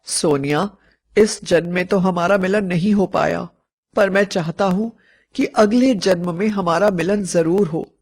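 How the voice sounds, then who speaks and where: A woman's voice: fairly low pitch, unhurried, a little mellow, slightly rough. A woman voicing a sorrowful, lovesick young male ghost in a storybook, speaking with earnest, mournful confession. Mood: tender, pleading. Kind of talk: storybook narration dialogue.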